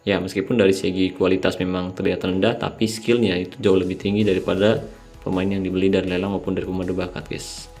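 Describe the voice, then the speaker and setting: Young man, low flat voice, slightly nasal, drowsy, laid-back game tutorial talk. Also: quick pace, faint music behind. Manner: relaxed, keeps calling viewers "guys". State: sleepy, late at night. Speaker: mobile game channel host. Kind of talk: casual gameplay tutorial voice-over.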